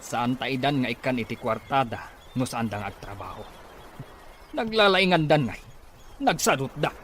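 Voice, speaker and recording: A man's voice: medium pitch, expressive, normal speed, fairly bright tone, a little hiss or hum, natural voice. A man playing a stern, exasperated farming father in a radio drama, scolding emphatically. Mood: angry, scolding. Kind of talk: Ilocano radio drama acting.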